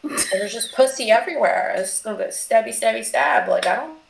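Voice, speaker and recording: A woman's voice: fairly high pitch, animated, brisk, creaky, echoey room, a little hiss or hum, rough recording. A woman co-hosting a podcast, chatting casually among friends, crude and joking as she rambles. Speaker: podcast co-host.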